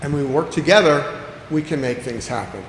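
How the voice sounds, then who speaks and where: A pastor preaching to his congregation in a big church sanctuary, his slightly higher male voice ringing out in a roomy space with a long tail of reverb. He talks earnestly, repeating phrases and slowing down to press a point. A faint hiss sits underneath. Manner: earnest, with repeated emphatic phrases and slow deliberate stresses. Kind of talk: sermon. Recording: echoey church hall with long reverb, faint background noise.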